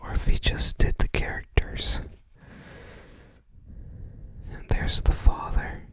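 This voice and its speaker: A person whispering softly and breathily in an unhurried, musing ASMR show-and-tell. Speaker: ASMR video creator.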